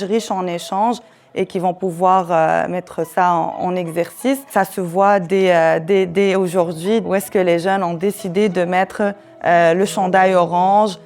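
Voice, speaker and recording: A woman gives an explanatory presentation as an educator, measured and a little hesitant. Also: medium pitch, a little mellow. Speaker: human rights education coordinator and presenter.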